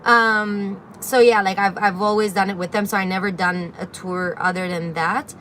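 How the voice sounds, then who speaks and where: Young woman from Syria, mid-pitched, chatty livestream host reading comments, phone mic outdoors with light street noise. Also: steady tone, moderate pace, a bit bright. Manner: chatty, reads viewer comments aloud, many fillers. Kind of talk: casual livestream Q&A. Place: outdoor balcony with greenery. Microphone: phone camera at arm's length.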